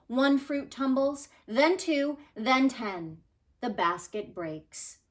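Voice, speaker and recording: A woman reads a children's story aloud in a fairly high voice. She takes her time over each phrase and moves her pitch around expressively, like a teacher sharing a book with students. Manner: deliberate, expressive storytelling delivery.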